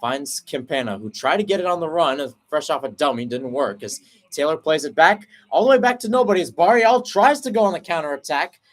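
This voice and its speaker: A man's voice: fairly high pitch, animated. Man calling soccer play-by-play. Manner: brisk flowing call, rising sharply on chances; self-correcting, explains tactics between plays. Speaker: play-by-play commentator.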